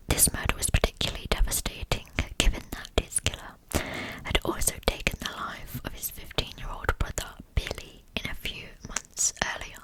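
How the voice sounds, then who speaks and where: A woman narrating a scripted story as ASMR in a breathy, hushed whisper, phrase by phrase. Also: rough recording. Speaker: ASMR host narrating true crime.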